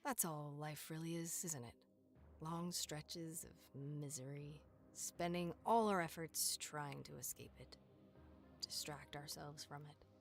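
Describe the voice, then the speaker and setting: A weary, bitter mother voiced by an actress in a horror game, fiercely protective. The voice is deep and unhurried, with brooding pauses over faint music. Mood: bleak, tender. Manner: brooding, halting, dramatic. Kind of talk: horror visual novel voice acting.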